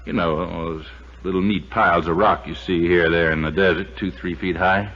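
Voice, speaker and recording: An actor playing a savvy, folksy American desert guide in a 1950s radio drama, telling things in an unflappable, colloquial way. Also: male voice, low voice, steady tone. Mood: yarn-spinning. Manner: folksy, colloquial, drawn-out storytelling. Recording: muffled vintage radio recording with faint hiss.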